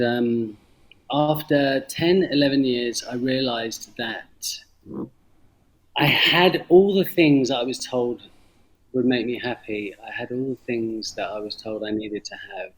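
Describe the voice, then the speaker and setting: A middle-aged man with a London accent speaks as an interview guest, telling a personal story in a reflective way. His pitch sits in an ordinary middle range, and he often pauses, restarts and repeats phrases for emphasis. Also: low-quality recording. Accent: London English. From London, England. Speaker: interview guest, former banker turned coffee farmer. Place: remote video call from Indonesia.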